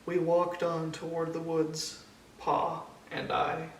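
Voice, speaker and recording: A man reading a children's picture book aloud in a measured storytelling way, with deliberate pauses. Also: on the high side, completely flat delivery. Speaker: storybook reader. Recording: echoey room, distant mic, faint noise.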